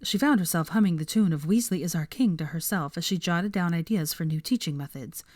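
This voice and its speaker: A woman's voice: fairly low pitch, a little fast, darkish tone, no echo, clean studio sound. A woman narrating fiction in a scripted, audiobook-style reading.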